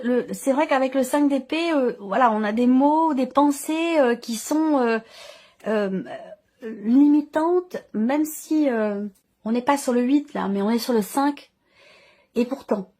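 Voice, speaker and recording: A woman with a fairly high voice talks through a tarot reading. She speaks off the cuff, feeling for the right word and often doubling back on a phrase to restate it. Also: a little mellow. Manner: halting, searching for words, repeats herself. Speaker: tarot reader. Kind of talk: intuitive tarot reading to camera, unscripted.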